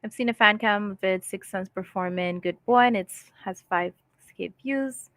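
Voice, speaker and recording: A woman's voice: medium pitch, normal speed, a bit bright, no echo. Chatty woman hosting a live stream. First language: English. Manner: chatty, reads viewer comments aloud and laughs often. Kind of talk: casual live-stream chat.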